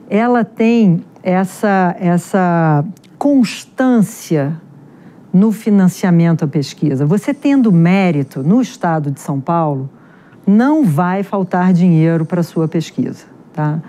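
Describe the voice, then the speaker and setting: A middle-aged woman with a carioca accent from Rio, a genetics researcher answering interview questions in a chatty, animated way with many fillers. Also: fairly low pitch, takes it slowly. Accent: Carioca Portuguese. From Rio de Janeiro, Brazil.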